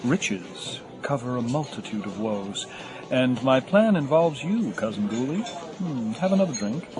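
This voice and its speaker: A man's voice: mid-range voice, big pitch swings, measured pace. A man playing a wry, pedantic, bookish convict in an audio horror drama, speaking in formal phrases. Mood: smooth scheming. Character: erudite, wry, quotation-loving convict called the Professor. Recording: music behind, vehicle and outdoor sound effects.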